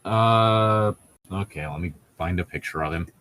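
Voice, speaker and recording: A man co-hosting a casual chat, rambling through stories in an excitable, profane and laughter-prone way. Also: sits low, unhurried.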